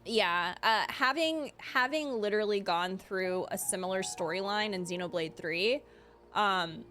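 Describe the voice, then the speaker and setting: A woman's voice: medium pitch, measured pace, pushed and bright. A woman streamer giving casual, chatty reaction commentary on a game, often restarting her sentences and laughing. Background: game music.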